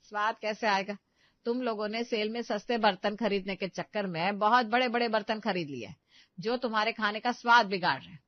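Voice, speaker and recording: A woman's voice: medium pitch, a little fast, dry recording, lo-fi sound, natural voice. A woman voicing a bossy, middle-aged village mother-in-law who scolds and gives instructions. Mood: scolding.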